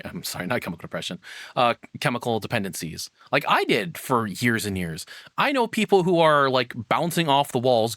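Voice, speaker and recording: A man's voice: medium pitch, a bit bright, no room sound, clean studio sound. A man giving unscripted reaction commentary as a streamer, rambling and questioning himself in casual language.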